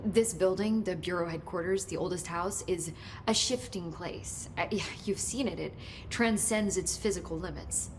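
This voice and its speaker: Actress as female agency researcher, lowish pitch, earnest, explanatory, understated, video game cutscene, background rumble. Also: normal speed, close to an everyday voice. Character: earnest, knowledgeable female government agency researcher, briefing her director.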